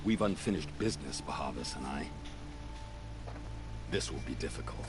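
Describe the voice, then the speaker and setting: An actor playing a gruff, resolute warrior ally in a video game, with a commanding manner. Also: male voice, rumbling low, expressive, normal speed, a crowd in the background. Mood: grave. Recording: loud game music and battle sound. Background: loud game music.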